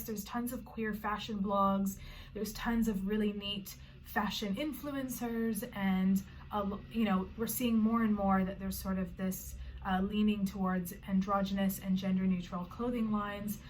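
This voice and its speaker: A woman's voice: medium pitch, slight background noise, poor audio. Chatty Canadian woman vlogging from her bedroom. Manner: friendly, explanatory, loose with filler words. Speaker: vlogger and organization founder. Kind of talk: casual talking-to-camera vlog. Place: own bedroom.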